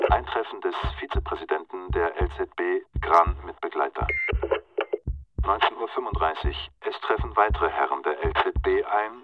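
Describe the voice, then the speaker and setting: An actor playing an impersonal police official who reads a timed incident log in clipped, bureaucratic phrases for a radio drama. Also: male voice, low-pitched. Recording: dull, distant, lo-fi sound.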